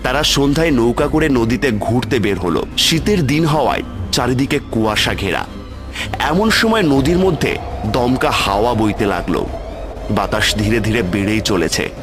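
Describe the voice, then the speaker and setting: A man's voice: medium pitch, lively intonation, moderate pace. A man telling a scripted horror story as the narrator of a cartoon tale. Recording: loud music with cricket sounds behind.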